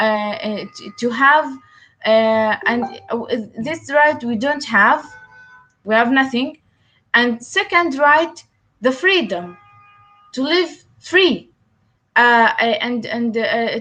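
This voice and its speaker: A woman with a high voice speaks English as a second language, her first being Arabic. She answers interview questions haltingly and keeps stopping to search for words. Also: a bit bright. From Palestine. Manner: halting, many fillers and restarts. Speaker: guest.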